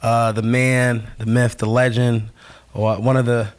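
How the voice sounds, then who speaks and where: A man's voice: medium pitch, little pitch movement, measured pace. A young man hosting a casual interview, laid-back and chatty, full of "like" and "you know". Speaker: podcast host.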